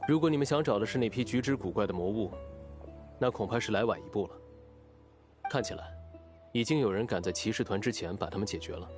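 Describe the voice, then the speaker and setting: A man's voice: on the low side, expressive, fast, long pauses, close to an everyday voice. An actor playing a cool, reserved young nobleman in a video game, speaking formally and tersely. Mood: cool, serious. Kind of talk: Chinese video game voice acting. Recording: music behind the voice.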